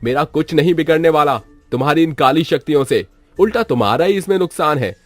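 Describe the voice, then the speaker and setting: Man doing a high-pitched, bold young hero. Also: expressive, fairly quick, no room sound, soft background music. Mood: commanding. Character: bold young writer man defying evil with faith. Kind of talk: Hindi moral story dramatization.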